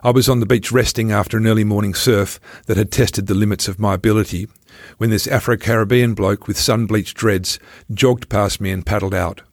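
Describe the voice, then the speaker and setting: A man's voice: on the low side, fairly even intonation, brisk, no room sound, clean studio sound. A man reading his own travel memoir aloud as scripted first-person narration.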